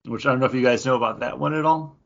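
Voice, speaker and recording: A man co-hosting a podcast in casual chat, quick and jokey. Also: medium pitch, gravelly, muffled recording. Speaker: podcast co-host.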